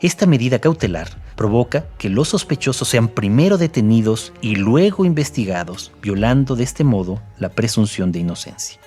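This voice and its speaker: A man's voice: medium pitch, expressive, normal speed, few pauses. A man presenting an investigation in scripted, explanatory narration. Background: soft music.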